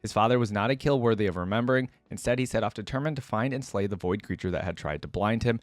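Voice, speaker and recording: A man with a fairly low voice hosts a reaction video in a casual, conversational way. He moves between reading text aloud and dropping in his own asides. The sound is very dry and close, with no room echo. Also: quick pace, soft background music.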